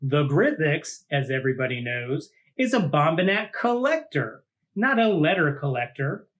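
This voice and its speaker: A man reading a children's picture book aloud like a teacher, with deliberate dramatic phrasing and drawn-out words. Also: fairly high pitch, very animated, a bit bright. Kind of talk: animated storybook read-aloud for children.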